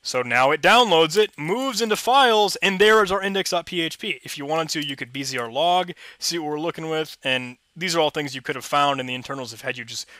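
Young male tech host, bright dry headset sound, upbeat and chatty. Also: a little high, fairly quick, hardly any pauses, no room sound. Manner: chatty, enthusiastic, rambling with restarts. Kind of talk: casual screen-recorded walkthrough.

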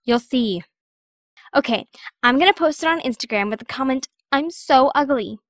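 A woman's voice: high-pitched, expressive, moderate pace, no room sound, studio quality. A woman playing a bossy, vain, manipulative teenage girl giving lessons in an audio drama.

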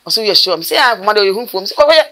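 Middle-aged Ghanaian woman, mortuary worker; rapid, animated storyteller, recorded outdoors on camera. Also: medium pitch. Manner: animated, gesturing with her hands, rapid bursts of speech. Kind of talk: storytelling interview. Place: outdoors by a building wall.

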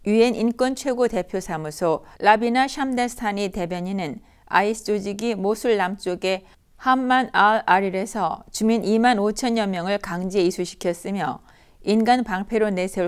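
A woman's voice: medium pitch, normal speed, smooth. A woman news anchor reading scripted news.

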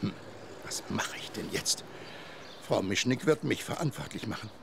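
A gruff yet kindly old grandpa from a children's radio play. His gravelly voice rises and falls in lively bluster. Noise is clearly audible behind him. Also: on the low side, moderate pace. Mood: worried, kindly. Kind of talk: children's audio drama acting.

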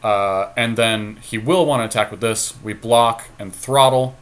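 A man's voice: fairly low pitch, fairly even intonation, unhurried. A man giving casual game commentary as a co-host, thinking aloud in an analytical way. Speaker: podcast co-host playing a card game.

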